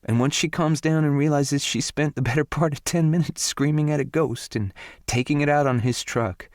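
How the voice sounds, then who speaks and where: A man's voice: on the high side, moderate pace, dry recording, voice pushed up, lightly acted. A man voicing a young woman, a protective friend who speaks in a dry, blunt, sardonic way.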